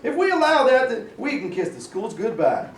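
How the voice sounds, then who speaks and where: A man's voice: very high voice, huge pitch swings, normal speed, exaggerated voice. A man in a staged reading playing an agitated, folksy segregationist state official who sounds alarmist and emphatic. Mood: indignant. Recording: roomy, distant mic, faint noise.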